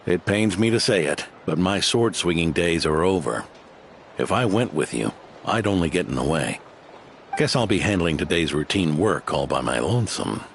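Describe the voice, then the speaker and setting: A man's voice: low-pitched, animated, moderate pace, a little hiss or hum. A man voicing a wry, resigned retired swordsman in a video game, with a self-deprecating, mock-mournful manner.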